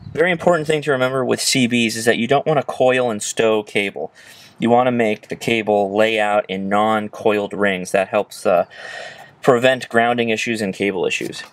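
A man's voice: fairly low pitch, steady tone, moderate pace, dry recording. A young American man giving a casual, informal how-to walkthrough, explaining as he goes. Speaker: how-to presenter. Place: in and beside a parked car outdoors.

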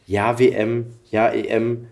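A man's voice: mid-range voice, little pitch movement, takes it slowly, creaky, a little mellow. A man hosting a podcast in a casual, rambling way, hesitating and thinking aloud as he goes. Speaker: podcast host and interviewer. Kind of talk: casual chat.

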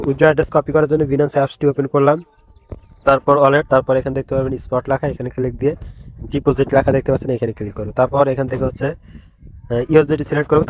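A man giving a quick step-by-step voice-over of an app on his phone, with frequent fillers. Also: medium pitch, a little mellow. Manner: rapid step-by-step instructions with fillers. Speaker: online earning tutorial creator. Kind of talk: screen-recorded app walkthrough.

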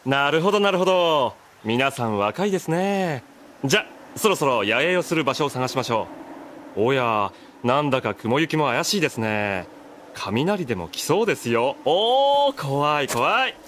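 A man's voice: medium pitch, big pitch swings, normal speed, fairly bright tone, a little hiss or hum. An actor playing a sly, teasing middle-aged military man with polite, glib speech in an anime audio drama. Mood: mockingly breezy. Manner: polite, glib, mock-playful.

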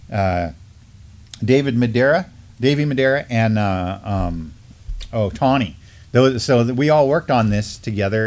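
A middle-aged man with a medium-pitched voice co-hosts a morning live stream. His delivery is conversational and loose, often halting and rambling as he chats informally at the studio desk. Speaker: morning show co-host. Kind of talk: casual chat on a news live stream. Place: indoor studio desk in front of a backdrop.

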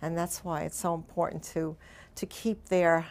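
A woman's voice: low-pitched, flat delivery, moderate pace, a little mellow. An older woman who founded a swim program for veterans speaks in a heartfelt, reflective interview in her office.

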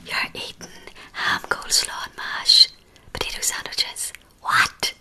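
A woman's voice: measured pace, sharp tone. Whispering woman, playful ASMR streamer. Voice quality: breathy whisper. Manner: playful, repeats phrases. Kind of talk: whispered ASMR, casual chat with live viewers. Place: small home room, indoors. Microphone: large microphone right in front of mouth.

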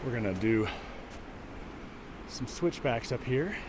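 A man's voice: mid-range voice, animated, slow pace. Winded man narrating a snowy hike. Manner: chatty, talks between breaths while climbing. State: winded from walking uphill. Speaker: vlogger and virtual walk guide. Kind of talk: casual narration while hiking. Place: outdoors on a snowy trail by a waterfall. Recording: outdoor recording with loud wind and water noise.

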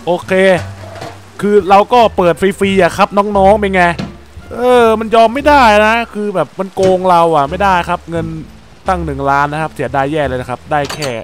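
A young man with a high, bright voice chats excitedly through a game session, throwing out exclamations and jokes to his viewers. Soft game music plays faintly under his desk-mic voice. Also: moderate pace. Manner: excitable, exclaims often, jokey. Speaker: Minecraft gameplay YouTuber. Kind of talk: playful gameplay commentary.

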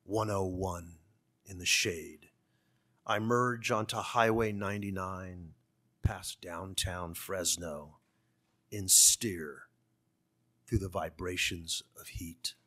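A man in his sixties from California's Central Valley, an author speaking and reading to the public with measured, deliberate phrasing. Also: deep voice, fairly even intonation, sharp tone. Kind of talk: public talk and literary reading. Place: conference room. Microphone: gooseneck podium microphone.

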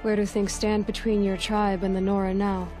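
An actress playing a bold young hunter in a video game cutscene, direct and self-assured with a dry edge. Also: female voice, mid-range voice, level tone, normal speed, mellow, faint music behind, lightly acted. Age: young adult. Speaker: game protagonist.

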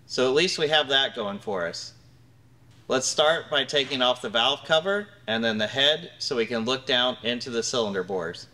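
A middle-aged man talks through his work in a big garage workshop, calm and matter-of-fact. His voice sits in an ordinary middle register, picked up by a camera mic close by. He explains each step plainly as he goes. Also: medium pitch, normal speed. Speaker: host. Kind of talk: hands-on workshop demonstration. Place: large garage workshop, indoors.

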